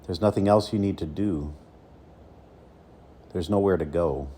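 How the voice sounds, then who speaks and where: Low, mellow-voiced man guiding meditation. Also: slightly rough. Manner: slow, soothing, with deliberate pauses between phrases. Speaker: meditation coach. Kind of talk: guided meditation.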